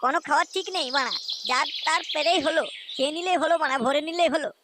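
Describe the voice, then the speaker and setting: Man acting hot-headed young cartoon husband, squeaky pitched-up voice, crude sarcastic grumbling, quick talker, noisy track. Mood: sarcastic, indignant. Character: foul-mouthed, hot-tempered young husband and son-in-law. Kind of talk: comic cartoon dialogue. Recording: noisy track with clicks and chirping birds.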